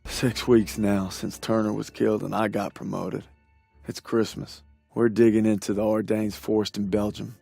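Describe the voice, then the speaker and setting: A man's voice: fairly low pitch, level tone, normal speed, creaky, mellow, no echo, faint music behind. A man playing a weary young American soldier in a war game, narrating his losses in a somber, reflective way. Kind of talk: scripted first-person war narration.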